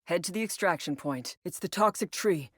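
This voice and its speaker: A woman's voice: fairly low pitch, expressive, normal speed, husky, dry recording, studio quality. An actress playing a female military operative in a video game, briefing her squad with clipped, instructive delivery. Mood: focused, commanding. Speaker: mission briefer and incursion leader.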